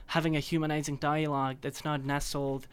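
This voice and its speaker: A man's voice: on the high side, level tone, brisk, smooth. A man in his twenties from Afghanistan, giving earnest, enthusiastic interview answers with frequent ums. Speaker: guest.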